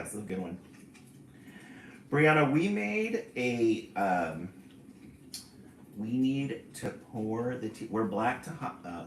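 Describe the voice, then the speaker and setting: A man's voice: medium pitch, a bit bright, a little hiss or hum. A man in his forties hosts a casual live stream, chatting away and reading viewer comments aloud with a laugh never far off. His voice swings up and down a lot, and the room around him rings with echo. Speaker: livestream host. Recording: roomy echo.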